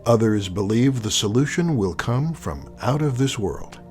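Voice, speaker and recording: A man's voice: mid-range voice, lively intonation, a little slow, darkish tone, faint music behind. A man delivering scripted narration as the announcer of an audio show.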